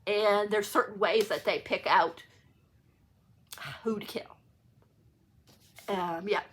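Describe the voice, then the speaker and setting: Chatty woman with a bright voice. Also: medium pitch, fairly even intonation, takes it slowly, slight background noise. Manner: chatty, rambling, self-correcting. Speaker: book vlogger hosting a read-along. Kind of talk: casual unscripted chat to viewers.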